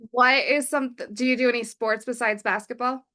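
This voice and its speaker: A woman hosting a podcast, chatty and asking quick, friendly questions. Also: a little high, fairly even intonation, pushed and bright. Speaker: podcast host. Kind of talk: casual interview chat.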